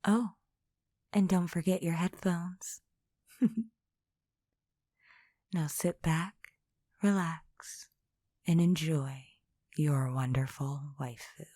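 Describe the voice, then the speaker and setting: A woman softly delivering an intro as an ASMR channel host. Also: deep voice, steady tone, very slow, pauses often, no echo, clean studio sound.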